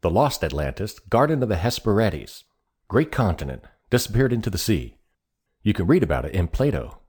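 A man voicing a stout, prosperous, hearty visitor in an audiobook, talking loudly, briskly and bossily. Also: on the low side, very animated, husky, voice pushed up. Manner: loud, brisk, emphatic.

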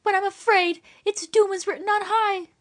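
Shrill, frantic countrywoman. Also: fairly even intonation, normal speed, fairly bright tone. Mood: fretful. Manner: dialect grammar, breathless exclaiming. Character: frantic, scatterbrained rural working woman, easily panicked.

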